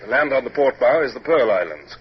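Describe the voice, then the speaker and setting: An actor playing a stern but humane naval captain in a 1950s radio drama, formal and clipped in speech. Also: male voice, mid-range voice, expressive, fairly quick, close to an everyday voice. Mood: composed, formal. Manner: formal, clipped, hesitant when moved. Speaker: lead character and narrator. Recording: noisy, muffled vintage radio recording.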